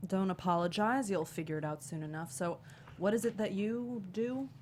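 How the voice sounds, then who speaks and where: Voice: mid-range voice, normal speed, a little mellow, slight background noise, lightly acted. An actor in a comedy table read playing a pompous, snooty monarch butterfly diva. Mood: haughty.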